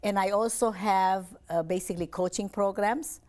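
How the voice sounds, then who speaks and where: A woman's voice: mid-range voice, steady tone, normal speed, a little mellow. A woman from Romania, a life coach giving an earnest, upbeat television interview and often repeating her phrases.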